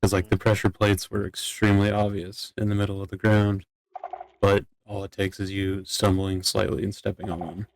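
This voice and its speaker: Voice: low voice, level tone, moderate pace, slight background noise, rough recording. A person talking casually in a roleplay discussion, explaining things in a plain-spoken way. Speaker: role-player in a court meeting.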